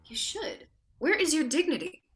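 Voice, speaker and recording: A woman's voice: sits high, expressive, normal speed, fairly bright tone. An actress playing a worried girlfriend who speaks curtly and insistently in a staged play reading. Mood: tense. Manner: curt, insistent, pointed. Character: worried young woman, sharp-tongued girlfriend.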